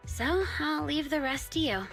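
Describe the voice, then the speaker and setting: High-voiced teasing hacker informant. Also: female voice, animated, a little slow, creaky, a bit bright, exaggerated voice. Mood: warm, encouraging. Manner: casual, breezy, teasing. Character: teasing, confident female hacker and informant, loyal friend. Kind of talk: video game voice acting. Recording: game music playing behind the voice.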